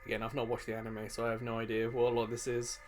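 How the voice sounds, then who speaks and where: A man's voice: mid-range voice, completely flat delivery, racing. A man giving casual gameplay commentary, thinking aloud and musing to himself as he hosts. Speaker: host of a gaming video series. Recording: game music behind the voice. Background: video game music.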